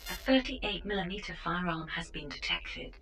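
A woman's voice: on the low side, animated, moderate pace, a bit bright, distant mic, soft background music, low-quality recording. A woman voicing an impersonal automated security announcement system in an audio drama, formal in delivery.

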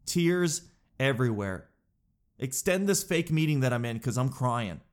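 A man's voice: mid-range voice, lively intonation, unhurried. A man, stuffed up from crying, chatting casually to camera in a self-mocking way. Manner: chatty, self-mocking, says dude a lot. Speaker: vlogger.

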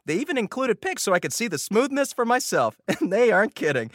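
A man's voice: high-pitched, very animated, fairly quick, fairly bright tone, no echo, clean studio sound. A man doing a playful, jokey scripted ad read. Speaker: ad reader.

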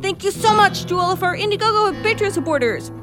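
A woman's voice: very high-pitched, expressive, fast. A woman announcer giving a scripted read of podcast credits. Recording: prominent plucked guitar music behind. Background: guitar music.

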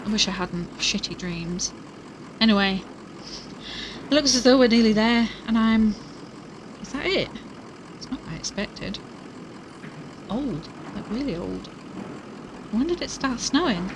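A woman's voice: on the high side, lively intonation, measured pace, long pauses, noisy background. A woman playing an anxious, plain-spoken young investigator narrating her journey in an audio drama, casual and hesitant. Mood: uneasy, weary. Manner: casual, hesitant, uneasy asides. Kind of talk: audio drama acting, recorded diary narration.

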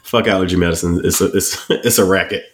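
A man co-hosting a casual chat, blunt and profane, talking with animated swings. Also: deep voice, fast, slight background noise. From Washington DC area. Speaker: podcast co-host.

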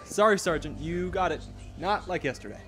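Man playing a creaky, flustered rookie cop. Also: high-pitched, expressive, moderate pace, music in the background. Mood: flustered, apologetic. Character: flustered, eager rookie policeman. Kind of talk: noir audio drama acting.

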